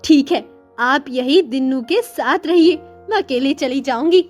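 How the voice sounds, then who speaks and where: Casting: female actor for meek, devoted poor sister, high-pitched, pleading, cartoon morality tale. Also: measured pace, soft background music. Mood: happy, hopeful. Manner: earnest, pleading, deferential. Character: humble, devoted poor sister in old clothes. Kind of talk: Hindi moral-story cartoon dialogue.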